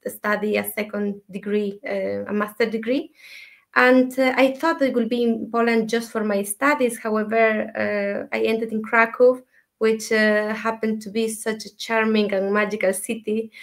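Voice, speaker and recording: Soft, even-toned woman. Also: mid-range voice. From Ecuador. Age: 30s. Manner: soft, reflective, many hesitations. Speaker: guest, dream mentor. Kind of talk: interview, personal story.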